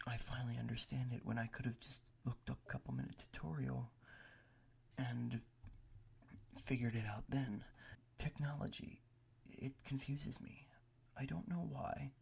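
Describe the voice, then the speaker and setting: A man doing soft, half-whispered ASMR close to the microphone, rambling in a hesitant, self-deprecating way with a breathy, gravelly voice. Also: mid-range voice. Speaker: ASMR creator. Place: bedroom at a desk.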